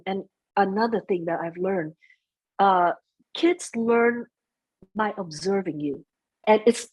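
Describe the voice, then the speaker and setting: A soft-spoken middle-aged woman speaking as a guest in an interview, chatting about family, sometimes slipping into Vietnamese phrases. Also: medium pitch, husky, low-quality recording. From United States. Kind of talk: conversational interview.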